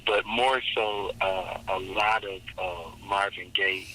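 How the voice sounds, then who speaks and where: A male singer and minister with a raspy, low voice, speaking slowly and thoughtfully as a guest in an interview. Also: fairly even intonation, pushed and bright, a little hiss or hum, poor audio. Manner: slow, measured, reflective. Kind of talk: interview over a call.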